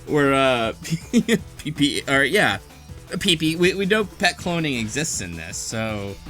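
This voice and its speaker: A man co-hosting a podcast, talking casually and haltingly as he works through his thoughts. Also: a little high, very animated, pushed and bright, soft background music. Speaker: podcast co-host. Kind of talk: casual discussion.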